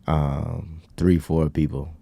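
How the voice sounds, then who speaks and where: A man's voice: very deep voice, flat delivery, takes it slowly, creaky, mellow, dry recording. A man in his thirties talking in casual podcast chat, earnest and preachy in long winding runs. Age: 30s. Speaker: podcast co-host.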